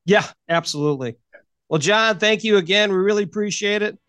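A man's voice: high voice, a little fast, a bit bright, dry recording, soft background music. A man hosting a podcast in a casual, chatty style, asking questions and telling stories. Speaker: podcast host. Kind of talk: casual chat, interviewing and storytelling. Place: close, dry recording.

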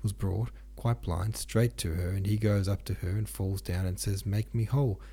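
A man's voice: fairly low pitch, steady tone, normal speed, mellow, slightly rough. A man reading the part of a garrulous, devout old peasant pilgrim woman in an audiobook, earnest and easily shocked. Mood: horrified, admonishing. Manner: rambling, earnest, pious, admonishing.

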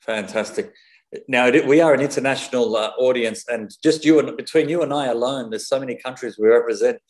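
A man with an Australian accent hosts a conversational interview. His voice is medium in pitch. He speaks as a host putting questions to a guest. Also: a little fast, darkish tone.

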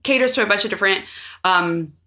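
Bright-voiced woman, rambling casual chat. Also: medium pitch, fast talker. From United States. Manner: rambling, heavy use of fillers like "like" and "you know". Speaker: podcast guest.

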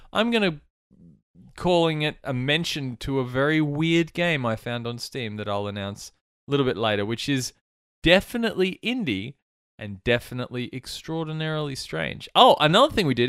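A chatty podcast host, nasal and stuffy from a cold. His pitch swings up and down a lot, and the sound is dry and close. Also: fairly bright tone, clean tone, no echo. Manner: chatty, jokey, teasing. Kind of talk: casual chat.

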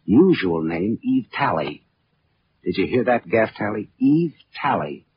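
An actor playing a hardboiled, sardonic private detective in a 1940s radio drama, clipped, slangy and wisecracking. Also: male voice, on the low side, sing-song, measured pace, husky, full character voice. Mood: coolly accusing. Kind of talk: 1940s radio detective acting with first-person narration. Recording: muffled, noisy late-1940s radio recording.

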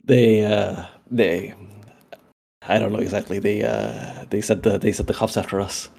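A man playing a weary, dry-humoured character in a tabletop game, speaking haltingly in a gravelly, husky voice. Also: fairly low pitch, fairly even intonation, darkish tone, full character voice. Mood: hesitant.

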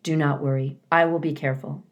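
A woman voicing a polite, earnest, literal-minded robot mother in a children's storybook, with measured phrasing. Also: low-pitched, steady tone, muffled recording, close to an everyday voice. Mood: calm, assured.

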